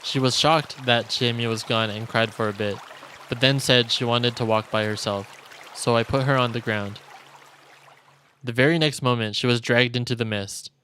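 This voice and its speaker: A male streamer reading visual novel narration aloud in a solemn, deliberate storytelling manner. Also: mid-range voice, steady tone, sharp tone, a little hiss or hum. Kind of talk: dramatic reading of game narration. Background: game music.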